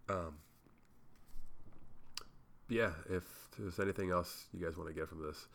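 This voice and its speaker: A man's voice: low voice, gravelly, fairly bright tone. A young man hosting a solo podcast, rambling casually and swearing, sounding a little congested. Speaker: podcast host.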